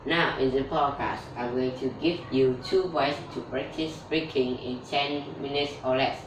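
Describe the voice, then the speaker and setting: A woman talks slowly and steadily through a scripted English-learning tutorial. She sounds set back from the mic in an echoey room, with a faint hum underneath. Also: rumbling low. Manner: steady explanatory delivery. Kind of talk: scripted tutorial with sponsor read. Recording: roomy echo, distant mic, faint background noise.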